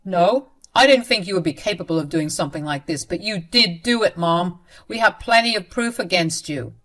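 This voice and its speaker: A woman's voice: lowish voice, animated, moderate pace, fairly bright tone, faint music behind. A woman voicing a grown son who firmly scolds his mother. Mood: stern. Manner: firm and scolding.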